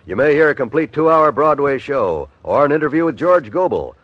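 Animated American male radio announcer. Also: fairly high pitch, no room sound. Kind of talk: 1950s scripted network radio announcing. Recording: slightly dull vintage radio recording.

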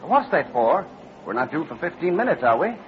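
An actor playing a chatty, inquisitive airline passenger in an old-time radio drama. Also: male voice, a little high, sing-song, a little fast. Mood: puzzled. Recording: muffled old radio, faint noise.

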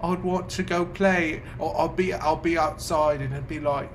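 A man performs a timid, wounded male therapy client in an improvised comedy. His voice sits fairly high for a man and stammers along meekly, apologetic and childlike in its sadness. There is clear noise behind the recording. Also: fairly even intonation, natural voice. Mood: sad, wistful. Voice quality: halting, stammering. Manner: meek, apologetic, hesitant. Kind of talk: improvised comedic character acting.

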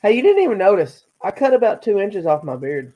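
A man's voice: high-pitched, sing-song, a little fast, muffled recording. A man co-hosting a podcast who jokes around and hypes things up in casual chat. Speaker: podcast co-host.

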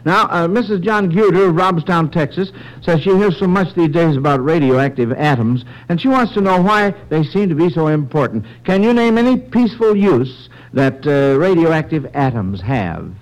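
A man with a fairly high voice hosts a vintage radio quiz. He is agreeable and encouraging, often halting as he works through his phrases. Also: animated, poor audio. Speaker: quiz show host. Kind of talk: old-time radio quiz hosting.

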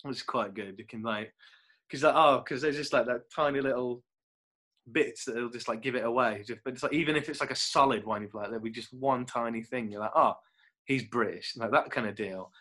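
A man's voice: medium pitch, fairly quick, pushed and bright. A young British man, an actor, chatting casually and rambling as he acts out little quotes with laughs. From United Kingdom. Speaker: actor guest. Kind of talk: casual chat.

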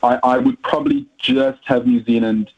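A man's voice: mid-range voice, steady tone, moderate pace, husky. A South African man, a rugby journalist, answering interview questions with frequent hesitations and repeated words. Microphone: phone. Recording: tinny phone line.

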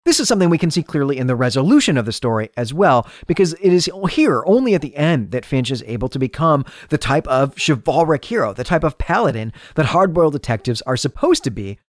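A man's voice: mid-range voice, no echo, clean studio sound. A man hosting a podcast, giving an articulate, explanatory literary analysis in a semi-scripted, lecture-like monologue. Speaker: podcast host.

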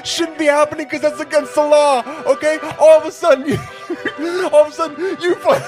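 A man's voice: very high voice, steady tone, moderate pace, music in the background. A man co-hosting a podcast, playfully improvising a comedic story in second person with frequent laughs.

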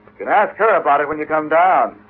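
A man's voice: a little high, animated, brisk, loud music behind the voice, natural voice. An actor playing an offhand man of the house in an old radio drama. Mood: casual, offhand. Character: casual, evasive man of the household. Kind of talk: 1940s-50s radio drama acting. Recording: tinny old radio recording.

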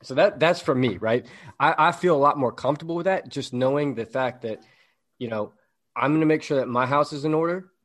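Earnest young man, mid-pitched. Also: a little fast, a bit bright. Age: 20s. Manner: earnest, repeats words, casual swearing. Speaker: podcast co-host. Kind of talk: casual chat.

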